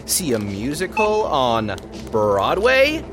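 A chatty cashier, animated pitch. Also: female voice, takes it slowly, over music, heavily acted. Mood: chatty, upbeat. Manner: bubbly small talk, peppered with "like" and "you know". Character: chatty, friendly young female store cashier. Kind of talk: audio drama acting.

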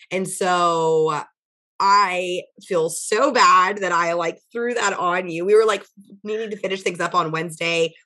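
A young woman with a hoarse, nearly gone voice, chatting casually as a podcast co-host and telling a story with lots of fillers. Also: on the low side, pushed and bright. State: hoarse, voice mostly gone from illness. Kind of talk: casual chat.

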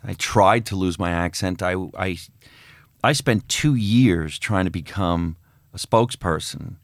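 A man's voice: low-pitched, measured pace, no room sound, studio quality. A man from New Jersey, an actor, chatting casually and telling stories from his career. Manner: storytelling, acting out quotes. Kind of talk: casual chat.

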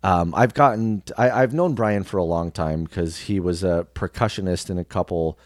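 A man's voice: deep voice, moderate pace, dry recording, clean studio sound. A man chatting casually as an interview guest, often searching for his words and repeating them. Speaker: podcast guest, radio host.

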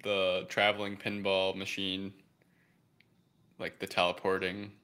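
Low voiced guy on a film podcast, bright punchy tone, chatting casually. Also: completely flat delivery, unhurried, smooth. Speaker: podcast co-host. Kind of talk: casual chat, movie review.

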